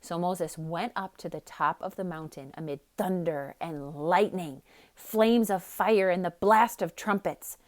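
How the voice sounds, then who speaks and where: Theatrical woman reading a children's storybook. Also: on the low side. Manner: expressive, dramatic, with hushed emphasis. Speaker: storyteller reading a picture book aloud for children. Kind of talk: theatrical read-aloud narration with casual asides.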